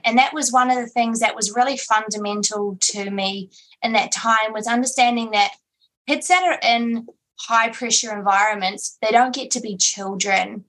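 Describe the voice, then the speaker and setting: Radio guest, a woman with a mid-range voice; measured, thoughtful answers. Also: mid-range voice, fairly bright tone, low-quality recording. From New Zealand. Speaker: interview guest, foster mother.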